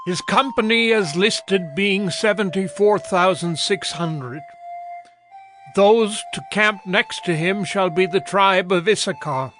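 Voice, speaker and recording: A man's voice: sits high, takes it slowly, fairly bright tone. A man giving a formal, declamatory reading of scripture from a script. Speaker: narrator. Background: prominent music.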